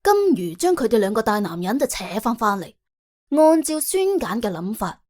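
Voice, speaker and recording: Higher-pitched woman narrating a story. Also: sing-song, normal speed. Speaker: audiobook narrator. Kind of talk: dramatised, colloquial comic crime storytelling. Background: soft background music.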